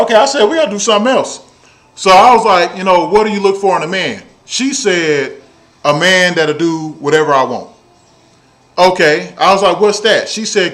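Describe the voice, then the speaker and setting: Male vlogger, 30s, Southern US accent, high voice. Casual storytelling rant, blunt, sweary, emphatic repetition. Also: a bit bright. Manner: blunt, profane, emphatic, repeats phrases for effect. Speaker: video blogger.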